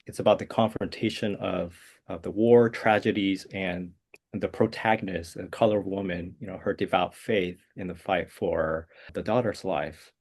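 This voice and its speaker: Male composer, 40s, fry-edged low voice, hesitant and thoughtful. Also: steady tone, moderate pace. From South Korea. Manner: soft-spoken, hesitant, many uh and um fillers. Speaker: guest composer. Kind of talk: interview answers.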